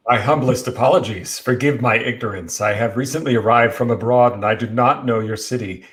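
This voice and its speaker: A man's voice: mid-range voice, brisk, fairly bright tone, close to an everyday voice. A man playing a courtly foreign vampire count with formal, courteous manners in a horror play.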